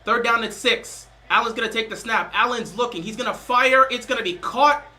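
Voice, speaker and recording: Excitable young man calling plays. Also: extremely high pitch, a little fast. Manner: excitable, calls plays then chats loosely. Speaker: live stream host doing play-by-play. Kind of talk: casual play-by-play commentary.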